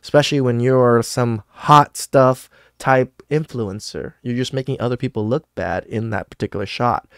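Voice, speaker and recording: A man's voice: mid-range voice, moderate pace, hardly any pauses, a bit bright, smooth, no echo, studio quality. A man in his thirties hosting a podcast, reacting to clips with blunt, profane scolding. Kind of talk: casual reaction commentary. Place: home studio with purple lights.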